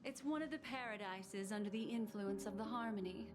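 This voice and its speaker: Actress as a poised, knowing, mid-pitched woman. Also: brisk, music in the background, natural voice. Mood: explanatory. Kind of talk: dramatic game dialogue, exposition.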